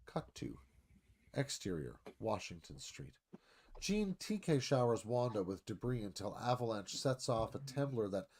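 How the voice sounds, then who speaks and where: A man narrating a screenplay, reading action and stage directions as dramatic scripted narration. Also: mid-range voice.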